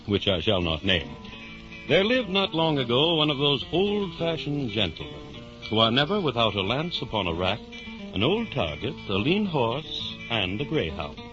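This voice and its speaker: A man narrating a story in a measured, theatrical 1950s radio drama style. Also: mid-range voice, huge pitch swings, music in the background. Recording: dull vintage radio recording.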